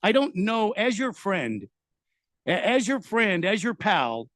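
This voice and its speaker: Upbeat sports radio host, fillers. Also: male voice, sits high, sing-song, slow, a bit bright, no room sound, clean studio sound. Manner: hesitant, many filler words and restarts. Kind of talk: live sports radio talk.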